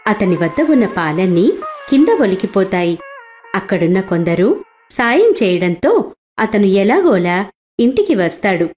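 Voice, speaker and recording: A woman's voice: mid-range voice, sing-song, unhurried, pauses often, darkish tone. A woman storyteller reading a scripted devotional moral tale as narration. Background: background music.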